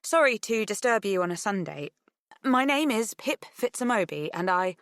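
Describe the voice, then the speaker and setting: A nervous teenage girl, acted by a woman narrating an audiobook, courteous and hesitant. Her voice sits higher than the narration, close and dry, with small stammers as she picks her words. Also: big pitch swings, moderate pace, a bit bright, no room sound. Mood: hesitant, apologetic. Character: nervous, polite teenage girl amateur investigator. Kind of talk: audiobook character dialogue.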